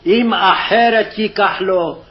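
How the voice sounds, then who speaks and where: A man's voice: sits high, fast talker. A man formally reciting scripture phrase by phrase, on a dull, lo-fi archival recording. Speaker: scripture reader. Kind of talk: scripted Hebrew Bible reading.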